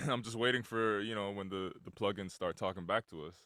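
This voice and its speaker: A man co-hosting a casual talk show, relaxed and easygoing with a chuckly manner. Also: on the low side, fairly quick, no echo, studio quality. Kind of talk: casual chat.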